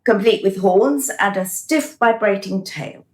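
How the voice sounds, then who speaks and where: A woman's voice: mid-range voice, unhurried, lightly acted. Woman playing a composed official, echoing room. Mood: wry, flirtatious. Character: brisk, composed female reincarnation official, playfully flirtatious. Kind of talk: radio play acting. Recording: roomy, echoing radio play recording.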